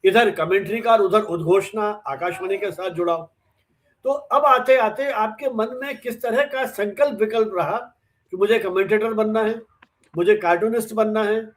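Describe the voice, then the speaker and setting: High-pitched older man interviewing. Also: fairly even intonation, normal speed. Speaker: interviewer, host. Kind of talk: warm reminiscing interview in conversational Hindi.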